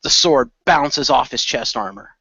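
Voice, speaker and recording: Bright-toned man playing a role-playing game. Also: mid-range voice, lively intonation, unhurried, husky, no echo, lo-fi sound. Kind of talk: improvised third-person narration with in-character lines.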